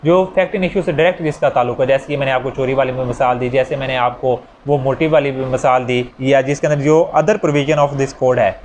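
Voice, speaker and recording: A man's voice: medium pitch, fairly even intonation, fairly quick, hardly any pauses, darkish tone, slight background noise. A man with a Pakistani accent giving an informal step-by-step law lecture, repeating key phrases and mixing in English legal terms. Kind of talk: explanatory lecture with worked examples.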